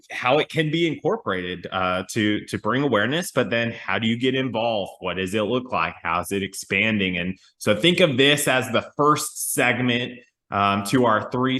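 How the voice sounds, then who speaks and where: A man hosting a conversational podcast interview, speaking haltingly and rephrasing his thoughts as he goes. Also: mid-range voice, bright, forceful tone. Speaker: podcast host. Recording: roomy, echoey sound.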